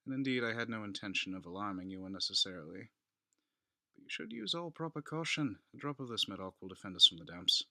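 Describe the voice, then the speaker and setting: A man's voice: on the low side, very animated, fast, bright, forceful tone, no room sound, full character voice. A man playing a scheming Italian nobleman in a dramatic audiobook reading, courteous and insinuating with feigned concern. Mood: smooth, polite. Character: scheming, falsely solicitous Italian nobleman, outwardly polite.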